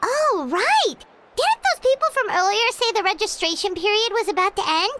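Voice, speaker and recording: A woman's voice: extremely high pitch, very animated, moderate pace, heavy background noise. A woman voicing a tiny, perky, boastful childlike companion creature in a video game. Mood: curious. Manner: chirpy, boastful, exclamatory. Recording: loud background music under the voice.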